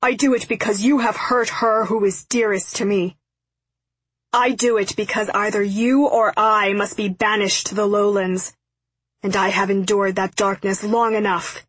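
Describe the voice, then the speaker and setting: A woman's voice: medium pitch, fairly even intonation, normal speed, husky, dry recording, natural voice, low-quality recording. A woman voicing a stern, tormented young man who makes formal, menacing declarations in an audiobook story. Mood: anguished defiance. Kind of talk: audiobook character acting.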